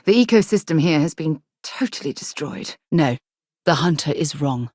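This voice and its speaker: An actress playing an earnest, principled space explorer in a video game, composed and articulate, with a dry wit. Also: female voice, low voice, lively intonation, normal speed, a little mellow, no room sound. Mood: thoughtful, serious. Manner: earnest, thoughtful, dryly wry.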